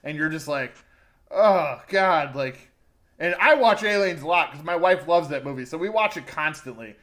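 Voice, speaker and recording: Male podcast co-host, fairly high voice, casual and opinionated reviewer. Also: expressive, moderate pace, fairly bright tone. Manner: conversational, informal, opinionated. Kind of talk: casual film review chat.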